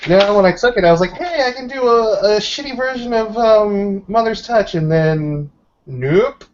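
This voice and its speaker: A man's voice: high voice, animated, moderate pace, a little hiss or hum. A man playing a tabletop roleplaying game, chatting casually and swearing freely as a player. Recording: dull, lo-fi voice recording.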